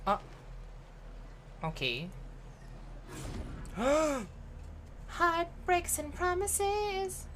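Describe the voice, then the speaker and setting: A woman's voice: very high-pitched, huge pitch swings, extremely slow, long pauses, fairly bright tone, a little hiss or hum. A woman with a Filipino accent reacting casually on a game livestream, playful and dramatic, mixing English and Tagalog. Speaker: live streamer.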